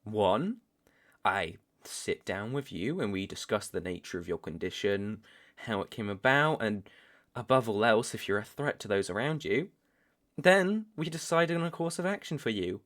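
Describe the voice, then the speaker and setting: A young British man playing a stern, taunting vampire hunter in a roleplay audio drama, speaking deliberately and with cool menace. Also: on the low side, expressive, fairly bright tone. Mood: cold, serious. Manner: slow, deliberate, threatening.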